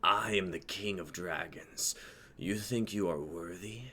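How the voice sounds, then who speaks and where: An imperious old dragon, low and forceful. The words come slowly and grandly, with a husky, growling breath and the menace of a vengeful king in a fantasy drama. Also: full character voice. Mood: intrigued, haughty. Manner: slow, grandiose, archaic speech. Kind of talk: dramatic script reading.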